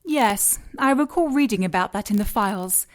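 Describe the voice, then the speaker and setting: Lady acting as icy boss villain in an audio drama, precise and mocking, medium-pitched. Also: expressive, normal speed, close to an everyday voice. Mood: dryly mocking. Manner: composed, precise, condescending. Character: cool, commanding female villain boss, dryly mocking.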